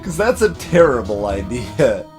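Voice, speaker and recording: A man giving casual let's play commentary and reading dialogue, haltingly thinking aloud. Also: mid-range voice, sing-song, darkish tone, faint music behind. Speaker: let's player voicing game characters. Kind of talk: casual gameplay commentary mixed with read dialogue.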